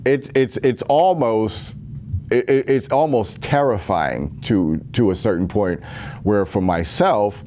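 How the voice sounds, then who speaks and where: A man's voice: on the low side, slow, a little mellow, slight background noise. A man giving an earnest, rambling spoken monologue with many restarts, recorded at home.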